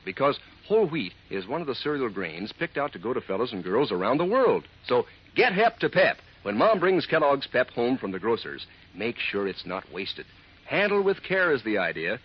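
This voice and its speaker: Male announcer for vintage radio cereal spot: husky, swooping, folksy enthusiasm, muffled recording. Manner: folksy, enthusiastic sales pitch. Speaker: commercial announcer. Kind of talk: 1940s radio cereal advertisement.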